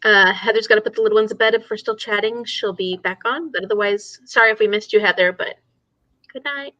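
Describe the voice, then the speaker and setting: A woman with a bright, forward voice hosts a casual book discussion. She talks in a conversational, wandering way. The sound is very dry and a little lo-fi. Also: medium pitch, a little fast, no echo. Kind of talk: casual chat.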